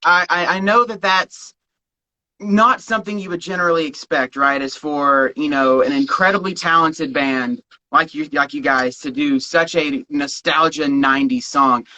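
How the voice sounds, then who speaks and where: A man co-hosting a casual interview, eager and chatty as he builds up a question. His voice is fairly high and bright. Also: moderate pace, poor audio. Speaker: podcast co-host.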